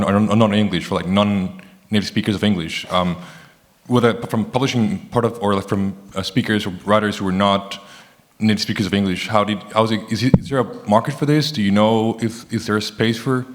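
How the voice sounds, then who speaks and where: A man in the audience asking a question, halting and searching for words. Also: fairly low pitch, fairly even intonation. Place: conference hall. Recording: distant voice in a reverberant hall.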